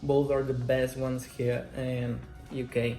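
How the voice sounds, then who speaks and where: Slow, careful man in his twenties. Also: mid-range voice, completely flat delivery, warm tone. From Mexico. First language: Spanish. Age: 20s. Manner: slow, careful non-native English. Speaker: interviewee. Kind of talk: student interview answers. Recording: roomy echo, faint music.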